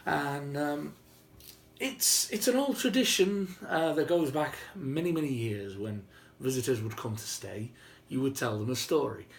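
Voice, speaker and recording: A man telling a story informally and whimsically, with dramatic pauses and emphasis. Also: fairly high pitch, lively intonation, creaky. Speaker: storyteller and video host. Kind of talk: informal improvised oral storytelling. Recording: roomy, faint background noise.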